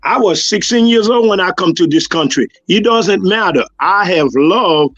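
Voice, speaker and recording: A man's voice: high-pitched, animated, moderate pace, fairly bright tone, no room sound, muffled recording. A man from Haiti with a Haitian accent, hosting a talk show and speaking earnestly about love. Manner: earnest and preachy. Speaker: host. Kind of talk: casual chat.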